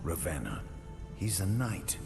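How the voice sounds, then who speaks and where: A man's voice: low-pitched, big pitch swings, a little slow, vocal fry, loud music behind the voice, heavily acted. A man voicing a terse, stoic, determined knight commander in a fantasy video game. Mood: grim, resolute. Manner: terse, resolute delivery.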